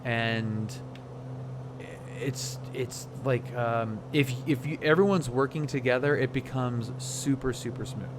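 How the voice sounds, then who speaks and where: A man talking casually and reflectively on a livestream, often halting to search for words. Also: medium pitch, background noise. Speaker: flight sim streamer.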